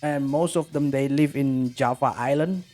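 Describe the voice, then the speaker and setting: A man's voice: medium pitch, moderate pace, a little mellow, soft background music. A man with an Indonesian accent, talking as a podcast guest in a casual, explanatory conversational way.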